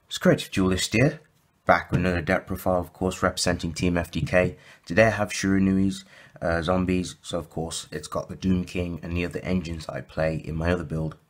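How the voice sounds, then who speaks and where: Low-pitched male host, a bit croaky, casual deck walkthrough, filler-heavy and self-correcting. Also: fairly even intonation, a little fast. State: slightly croaky from sore throat. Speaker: YouTube deck profile host.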